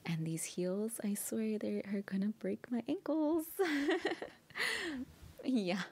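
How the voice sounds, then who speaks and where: Woman acting as shy young woman, old-flame reunion, intimate girlfriend roleplay, hesitant and giggly, unhurried, noticeable vocal fry. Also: medium pitch, big pitch swings, warm tone, heavily acted. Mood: shy, playful. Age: young adult. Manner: hesitant, giggly, often breathy asides. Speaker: girlfriend roleplay audio performer. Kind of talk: intimate one-sided ASMR roleplay.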